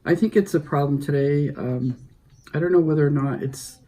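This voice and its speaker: A middle-aged man with a mellow, warm tone speaks in a measured, thoughtful way. He weighs his words as he answers interview questions. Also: a little high.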